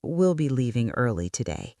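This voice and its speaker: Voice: medium pitch, very animated, moderate pace, dark tone, dry recording, studio quality. A narrator voicing a controlled, guarded billionaire boss and single father in an audiobook. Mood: curt, controlled.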